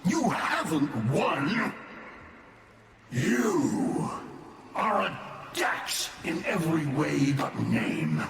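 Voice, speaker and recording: Grave father, very slow and echoing. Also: fairly high pitch, sing-song, vocal fry, exaggerated voice. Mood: defiant. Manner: grave, commanding, halting. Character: defiant, weary father confronting his son. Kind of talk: cinematic game drama acting. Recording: distant, very echoey, noisy.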